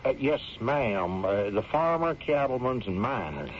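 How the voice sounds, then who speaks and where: A man's voice: mid-range voice, big pitch swings, unhurried. Polite flustered western hotel man, drawly, voice jumps all over, muffled noisy radio western. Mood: obliging. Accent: American West drawl, folksy. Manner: hesitant, deferential, folksy, stammers ma'am. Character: flustered, courtly frontier hotel keeper, folksy and cautious. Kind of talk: radio drama acting. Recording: muffled, noisy old radio drama recording.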